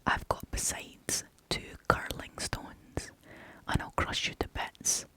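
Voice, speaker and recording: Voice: slow, bright, forceful tone. A British storyteller voicing a big, bold, threatening male billy goat from a storybook in a defiant whisper.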